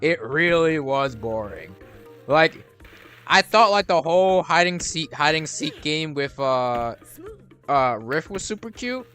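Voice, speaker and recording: A man's voice: a little high, lively intonation, slow, fairly bright tone, clear, resonant tone, faint music behind. A young man giving rambling, casual commentary while playing a video game, restarting his sentences and swearing freely. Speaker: gaming streamer.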